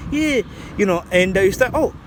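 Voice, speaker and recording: A man's voice: high voice, animated, moderate pace, noisy background. A man, talking casually and reflectively in a vlog, rambling with many restarts. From Zambia. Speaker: vlogger.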